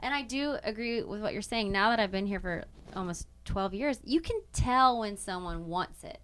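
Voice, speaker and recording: A woman's voice: mid-range voice, expressive, normal speed, a bit bright, clear, resonant tone. A young woman hosting a casual interview, encouraging and enthusiastic toward her guest. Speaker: podcast host.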